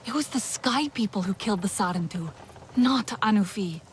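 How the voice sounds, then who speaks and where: An actress playing an earnest, steady young woman warrior in a video game. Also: mid-range voice, moderate pace, a little hiss or hum, natural voice. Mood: urgent.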